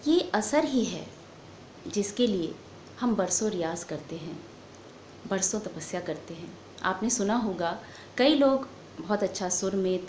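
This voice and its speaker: A woman giving a formal, measured lecture as a singing teacher. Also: medium pitch, a little mellow. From India. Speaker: music lecturer, vocal teacher. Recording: echoey room with faint background noise.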